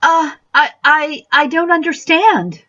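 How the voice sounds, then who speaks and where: A genteel, flustered hostess acted by a woman in a radio play. Her voice is high and slow, with fluttery hesitation. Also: expressive, bright, forceful tone, dull audio, exaggerated voice. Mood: shaken, hesitant. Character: flustered, genteel housewife hostess at dinner party. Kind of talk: radio mystery acting.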